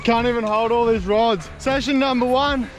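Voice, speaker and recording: A young man, vlogging a fishing trip from an island shore and shouting excitedly when fish bite. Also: very high voice, normal speed, soft background music. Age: young adult. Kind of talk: casual outdoor fishing vlog. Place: outdoors on rocky island shore by the sea. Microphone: action camera.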